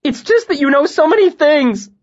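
A man voicing a volatile young male fae servant who shouts in a shrill, near-hysterical voice. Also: expressive, normal speed, highs cut off. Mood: frantic pleading.

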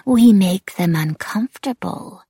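A woman voicing a sinister, demented female wraith who taunts in a breathy, whispering way with sing-song repetition. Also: mid-range voice, lively intonation, measured pace, warm tone, dry recording, studio quality, voice pushed up. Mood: mocking.

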